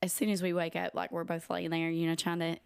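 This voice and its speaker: Raspy-voiced woman, dry sound. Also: lowish voice, monotone, quick pace, dry recording. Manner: chatty, storytelling with lots of "like". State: hoarse from a sinus illness. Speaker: podcast co-host. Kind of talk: casual chat.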